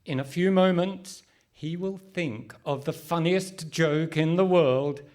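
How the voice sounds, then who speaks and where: Man acting as an exasperated, slow-spoken comedian. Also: a little high, a little mellow. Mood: solemn narrating. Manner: deliberate, emphatic. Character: exasperated male comedian recording a sketch, pompous narrator. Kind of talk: live radio comedy play. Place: hall stage in front of a live audience.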